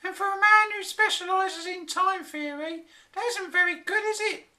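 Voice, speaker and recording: Shrill scornful wife, sky-high voice, bright and sharp. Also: female voice, moderate pace, exaggerated voice. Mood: scolding. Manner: mocking, scornful. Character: shrill scolding wife, mocking and exasperated.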